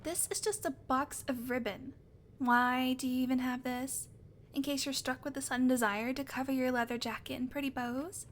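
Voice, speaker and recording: High female voice, actress as teasing unrepentant vampire, sarcastic, casually profane, conversational audio drama, faint background noise. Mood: teasing, bemused. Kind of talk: audio roleplay acting, conversational monologue to listener.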